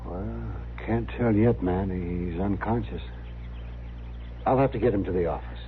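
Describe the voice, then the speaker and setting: An actor playing a kindly, soothing frontier doctor with a gentle, hesitant manner in a western radio drama. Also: male voice, low voice, a little slow. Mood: concerned, measured. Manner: gentle, hesitant, repeating himself. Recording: muffled, noisy old radio recording.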